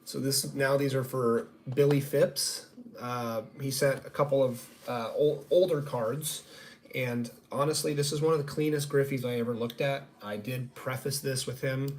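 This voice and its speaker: A man's voice: mid-range voice, normal speed. A man with a slightly nasal voice, hosting a casual live-stream card reveal in a conversational, self-deprecating way. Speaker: sports card grading reveal host. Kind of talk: casual live-stream commentary on trading cards. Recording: noticeable steady background noise.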